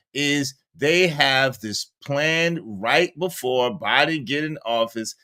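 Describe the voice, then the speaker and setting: A man's voice: medium pitch, sharp tone. A man in his forties hosting a live stream, chatting casually in slang and reading viewer comments aloud. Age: 40s.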